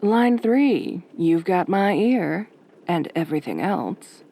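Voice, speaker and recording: Casting: woman to play sultry night-radio host; gentle, somewhat low, dry teasing wit. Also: sing-song, takes it slowly, vocal fry, exaggerated voice. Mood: wry, teasing. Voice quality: soft, velvety. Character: velvety, wry, flirtatious female late-night radio DJ.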